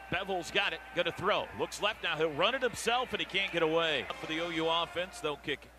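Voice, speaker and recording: American male play-by-play radio caller, higher register, terse urgent phrasing, noisy stadium broadcast. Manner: clipped, urgent running description. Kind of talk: live American football radio play-by-play commentary. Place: outdoor football stadium. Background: steady stadium noise.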